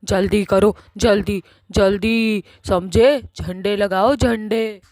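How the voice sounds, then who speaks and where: A woman's voice: mid-range voice, fairly even intonation, slow pace, a little mellow, no echo, lightly acted. Woman doing a bossy cartoon witch, angry and scolding, threats galore, really dry close sound. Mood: threatening. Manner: scolding, emphatic, repeats words for menace. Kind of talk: Hindi cartoon horror-comedy acting.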